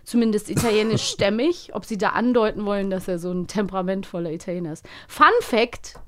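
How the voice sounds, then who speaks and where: A woman co-hosting a podcast, chatting casually and retelling things in an animated way. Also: medium pitch, brisk. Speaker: podcast co-host. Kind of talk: casual chat about children's audio plays.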